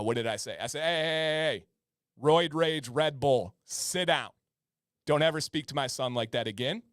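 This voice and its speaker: A man's voice: medium pitch, no echo, clean studio sound. A man in his thirties hosting a live-stream chat show, chatty and prone to repeating words and restarting sentences. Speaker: host.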